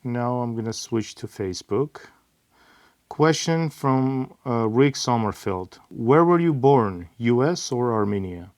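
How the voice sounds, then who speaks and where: A middle-aged man hosting a casual Q&A, reading viewer questions aloud in a plain, easygoing way with frequent pauses. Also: medium pitch, expressive, a little mellow, dry recording, clean studio sound. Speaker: host, father of the bassist.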